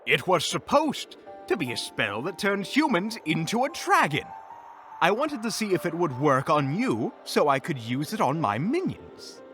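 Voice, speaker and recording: Man acting as an arrogant, sharp-voiced evil wizard. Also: fairly high pitch, big pitch swings, unhurried, faint music behind. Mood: curt, irritated. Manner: smug, curt, theatrical. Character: arrogant, impatient villainous male wizard. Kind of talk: audio roleplay acting.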